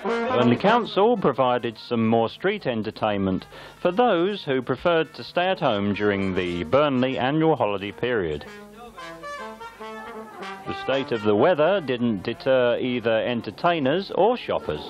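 Lively male newsreel narrator, dull lo-fi sound. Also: mid-range voice, measured pace, over music. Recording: band-limited, dull lo-fi videotape sound.

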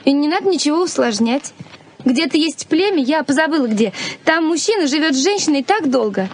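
A woman's voice: high-pitched, animated, fairly quick. An actress playing a playful, independent young bride-to-be who teases her fiancé in a 1980s radio play. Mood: light, teasing. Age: young adult. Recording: faint noise, old radio play recording.

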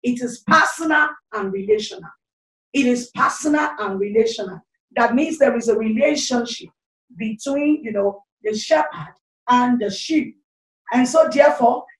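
A woman's voice: a little high, expressive, normal speed, echoey room, recorded from a distance, rough recording. A middle-aged Nigerian woman evangelist preaching into a handheld mic, fervent and repetitive, with frequent exclamations. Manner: gestures freely while speaking into a handheld mic. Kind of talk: online sermon. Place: small indoor room, seated. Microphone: handheld corded microphone.

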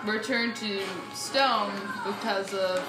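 A woman's voice: mid-range voice, takes it slowly. A woman chatting casually as she plays a video game. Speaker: let's play gamer. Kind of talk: casual chat while playing a video game. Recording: roomy sound, game music behind. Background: video game music.

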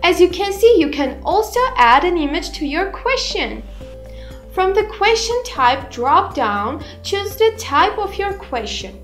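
A woman in her twenties presents a scripted tutorial in a high, girlish pitch. She takes her time over each phrase, speaking slowly and deliberately. Music plays behind her voice. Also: very animated. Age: 20s. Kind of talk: scripted narration, software tutorial. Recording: roomy echo, music behind. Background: background music.

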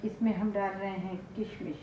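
A woman's voice: medium pitch, fairly even intonation, normal speed. An older woman chef with an Indian accent, explaining a dish step by step to the camera. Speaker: chef, cooking show host. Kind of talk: cooking demonstration to camera. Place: home kitchen, indoors. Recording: echoey, muffled kitchen recording with noise.

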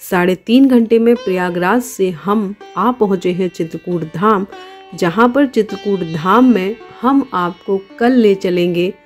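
A woman's voice: mid-range voice, measured pace, a little mellow, faint music behind. A woman narrates a travel vlog, devotional in manner and speaking straight to viewers.

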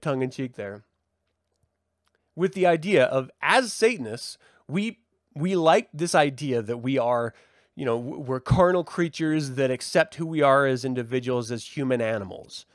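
A man's voice: on the high side, lively intonation, normal speed, dry recording, clean studio sound. A man hosting a live talk, reflecting aloud with rhetorical questions and emphatic stresses. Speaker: livestream host and religious reverend. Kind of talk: reflective live monologue to an online audience.

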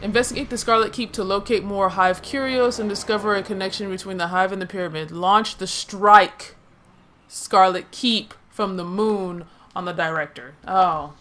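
A woman's voice: mid-range voice, moderate pace, sharp tone, slight background noise. A woman casually commenting on her own gameplay, chatty and laughing, swearing, and talking to herself. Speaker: let's play gamer.

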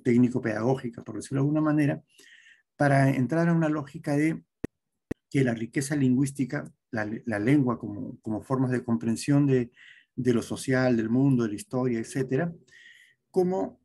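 A middle-aged man, giving an academic talk over a video call, pausing often with fillers and tag questions. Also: medium pitch, gravelly, mellow, husky. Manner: lecturing, with frequent hesitations and tag questions. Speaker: conference speaker. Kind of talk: academic presentation. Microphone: webcam on a video call.

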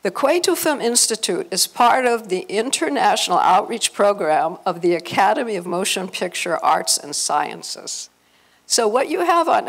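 Older American woman, creaky-voiced event speaker. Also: mid-range voice. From Los Angeles, United States. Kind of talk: informal public talk to an audience. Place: hotel conference room, indoors. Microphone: handheld microphone through PA.

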